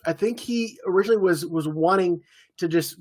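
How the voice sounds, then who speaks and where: A man co-hosting a casual TV podcast, recapping the plot in a relaxed way with playful impressions. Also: high-pitched, fairly quick. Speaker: podcast co-host. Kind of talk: casual chat about a TV episode.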